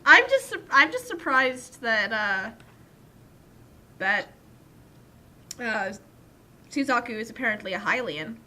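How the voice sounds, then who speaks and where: A woman with a high, lively and very sharp voice comments casually and comically. She is excitable and halting, often breaking off mid-phrase. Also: a little hiss or hum.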